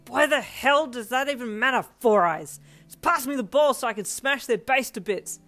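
A woman's voice: on the high side, moderate pace, faint music behind, voice pushed up, close to an everyday voice. Woman putting on a hot-tempered, barking teenage boy. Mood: furious. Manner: shouting, aggressive.

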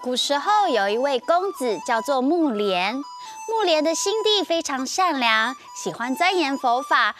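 A young woman with a Taiwanese accent hosts children's story time. Her voice is high and very animated, with dramatic delivery. Music plays in the background. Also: measured pace. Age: young adult. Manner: expressive, dramatic. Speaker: children's show host. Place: TV studio set.

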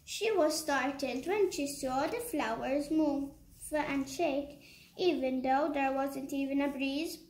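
A woman's voice: high voice, takes it slowly, a little mellow. A woman narrating a children's puppet story with careful read-aloud delivery in a room at home. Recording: echoey room with background noise.

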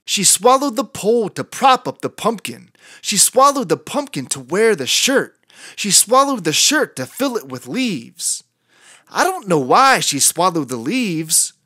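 A narrator reading aloud for young children with a deliberate, rhythmic sing-song delivery. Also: medium pitch, fairly bright tone, no room sound, studio quality. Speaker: children's entertainer and story reader.

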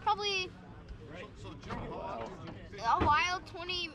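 A teenage boy at a fishing lodge, answering questions in shy, halting bits. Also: very high-pitched, lively intonation, crowd noise. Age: teens. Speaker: young angler guest.

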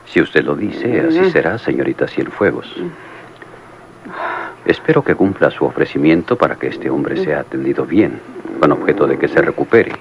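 A deep-voiced police lieutenant. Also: male voice, very animated, full character voice. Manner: courteous, measured. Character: police lieutenant, polite and determined. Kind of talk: 1950s-style radio drama acting. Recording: dull, noisy old radio recording.

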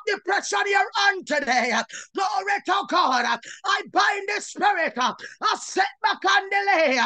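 A woman's voice: extremely high pitch, bright, forceful tone, no room sound. A woman minister leading a fervent Pentecostal prayer, tearful and impassioned, punctuating her declarations with short "uh"s and cries to God. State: tearful, crying.

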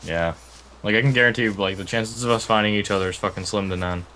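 A young man chatting casually while playing a zombie game over voice chat, griping deadpan with lots of "like". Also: on the low side, fast talker, slight background noise, rough recording. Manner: deadpan griping, heavy filler "like". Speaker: co-player on voice chat.

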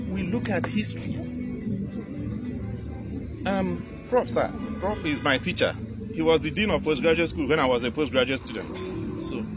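A middle-aged man with a Nigerian English accent delivers a fiery talk outdoors under a tree, pressing his points by repeating them. His voice sits a little high. He is caught on a phone held among the audience, with loud music playing behind him. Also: rough recording. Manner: emphatic, gesturing, repeating phrases for stress. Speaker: university lecturer addressing a meeting. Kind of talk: impassioned public address. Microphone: phone camera from the audience.

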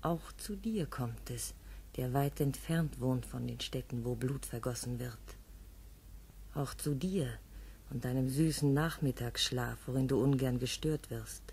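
Male interlude voice, husky, slow, urgent warning tone, 1950s radio. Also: mid-range voice, a little mellow. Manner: urgent, warning, half-whispered. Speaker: reader of interlude texts. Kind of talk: scripted poetic narration in a 1950s radio play.

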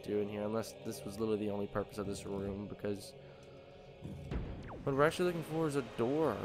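A man's voice: on the low side, fast. Nasal man with game music behind. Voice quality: slightly nasal from allergies. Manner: rambling, thinking aloud with many ums. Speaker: let's play host. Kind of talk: casual gameplay commentary.

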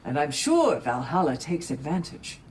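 An actress playing a reserved, formal Valkyrie warrior in a video game, speaking reassuringly. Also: female voice, low-pitched, sing-song, normal speed, a little mellow, a little hiss or hum, low-quality recording. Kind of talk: dramatic game acting.

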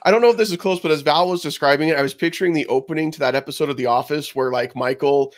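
A man's voice: fairly high pitch, racing. A man co-hosting a podcast over a video call, enthusiastic and self-deprecating. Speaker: podcast co-host. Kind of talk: casual chat.

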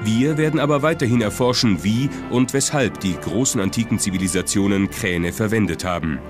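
A man narrates a scripted documentary in a measured, dramatic way. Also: fairly low pitch. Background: prominent background music.

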